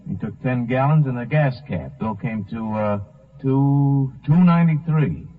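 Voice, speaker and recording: A man's voice: medium pitch, measured pace, close to an everyday voice. A man acting an earnest, folksy American gas station worker under suspicion in a 1950s radio drama. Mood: defensive, thoughtful. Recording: muffled narrow-band 1950s radio recording.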